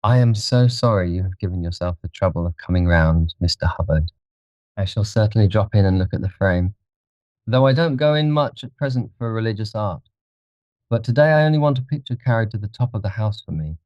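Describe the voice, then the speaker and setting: A polished young man of means, acted by a male narrator, courteous yet used to being obeyed. The voice is fairly low, with a dry and slightly dulled recording, as in a storybook reading. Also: expressive, a little fast, darkish tone, no echo, highs cut off, close to an everyday voice. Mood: polite, commanding. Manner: courteous, genteel, giving orders politely. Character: charming, wealthy young gentleman, polite but commanding.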